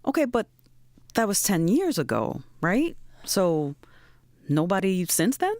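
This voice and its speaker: A woman's voice: mid-range voice, big pitch swings, slow pace, a little mellow, dry recording. A woman playing a blunt, loyal, streetwise best friend in an audio drama, with a slangy, probing way of talking. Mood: blunt, supportive. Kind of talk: naturalistic audio drama acting.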